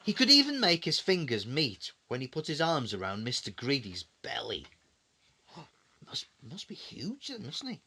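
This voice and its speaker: A man tells a children's story as a dad would at bedtime. His voice moves in very lively swoops. He repeats phrases for drama and adds conversational little asides to the listener. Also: mid-range voice, normal speed, slightly rough. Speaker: father reading a bedtime story.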